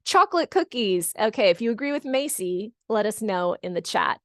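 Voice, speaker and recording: A woman's voice: medium pitch, big pitch swings, moderate pace, a bit bright, no echo, clean studio sound. A middle-aged woman from California chatting casually as a webinar host, encouraging people and repeating answers back. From California, United States. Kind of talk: casual moderated chat, reading out audience comments. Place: online video call.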